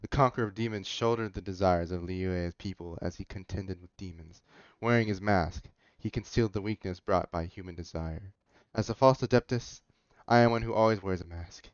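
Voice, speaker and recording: A man's voice: deep voice, moderate pace, smooth, dry recording. A man giving casual game commentary and reading dialogue aloud, on a dull-sounding close mic with faint music. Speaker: gamer commentating a playthrough.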